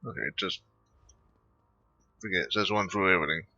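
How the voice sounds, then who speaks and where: Bright, forceful low-voiced man commentating casually. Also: steady tone, a little fast, no room sound, muffled recording, soft background music. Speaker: gameplay commentator. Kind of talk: casual off-the-cuff gaming commentary.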